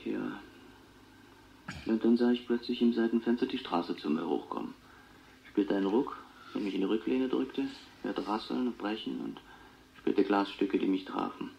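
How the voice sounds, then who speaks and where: A reflective clairvoyant man in a German-style taped radio play monologue. His low voice crackles with fry and moves in measured, halting phrases. The tape sounds dull, with faint noise behind him. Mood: somber, haunted. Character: clairvoyant man calmly recalling his traumatic childhood.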